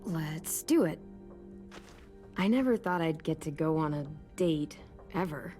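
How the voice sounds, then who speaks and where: Teenage girl survivor, American accent, lowish voice, guarded yet caring, game drama, music behind. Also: lively intonation, measured pace, mellow. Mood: teasing. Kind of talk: naturalistic video game voice acting.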